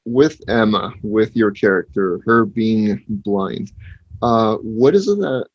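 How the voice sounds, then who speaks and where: A man with a husky voice hosting an interview video in a casual, conversational style. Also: lowish voice, lively intonation, slow pace, dry recording, highs cut off. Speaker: interviewer, video channel host.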